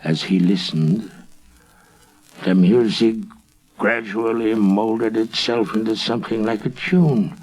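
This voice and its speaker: A man with a husky voice telling a ghostly folk tale in a theatrical, suspenseful storyteller's manner. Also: on the low side, a little mellow, poor audio. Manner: theatrical, drawn-out suspenseful phrasing.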